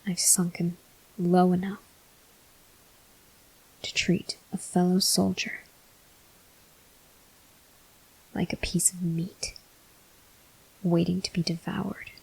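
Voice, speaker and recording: A woman playing a brooding, guilt-ridden male vampire officer in an ASMR roleplay, whispering breathily in slow, halting, formal phrases. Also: on the low side, little pitch movement, vocal fry, darkish tone, husky, exaggerated voice, low-quality recording. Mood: self-loathing.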